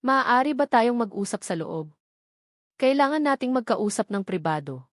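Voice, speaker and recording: A woman's voice: fairly high pitch, expressive, normal speed, dry recording, close to an everyday voice. An icy, commanding matriarch of a wealthy family, as a woman narrator puts her on in a dramatic audio story. She is older, haughty and threatening, and the sound is dry with little room to it.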